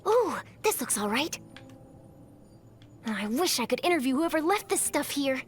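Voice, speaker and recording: A woman's voice: sits high, sing-song, unhurried, a little hiss or hum. An actress playing an upbeat, gleeful young woman in a video game, with a sing-song delivery. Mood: curious, pleased. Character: upbeat young woman, eager treasure-hunting game character. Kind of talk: video game character voice acting.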